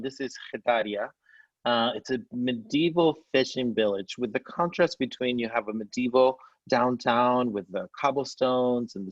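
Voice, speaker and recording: A man's voice: medium pitch, no room sound. A man from Spain, a tour company head, presenting a travel slideshow on a video call with enthusiasm and frequent fillers. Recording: dull, dry video call audio.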